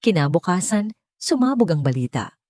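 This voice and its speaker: Female Filipino storyteller for scripted romantic drama narration; soft dark timbre, close dry sound. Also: lowish voice, very animated, normal speed, no room sound.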